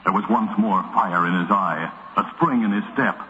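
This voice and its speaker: A man's voice: lowish voice, moderate pace, heavy background noise. An American man narrating an old radio western in a dramatic, solemn 1940s storytelling style. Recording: muffled vintage radio recording.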